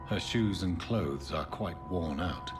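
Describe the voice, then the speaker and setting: Grave English gentleman vampire, very low voice, haunted, determined, formal, measured, dramatic game acting, music behind. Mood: grim, investigating. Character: brooding adult gentleman doctor turned vampire, haunted, determined. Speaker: video game protagonist.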